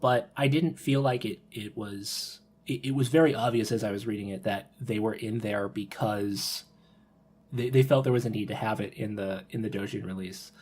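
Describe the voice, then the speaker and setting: A man talking casually on a podcast, thinking aloud in a halting way and repeating his words. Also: fairly low pitch, steady tone, a little mellow, slightly rough. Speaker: podcast panelist.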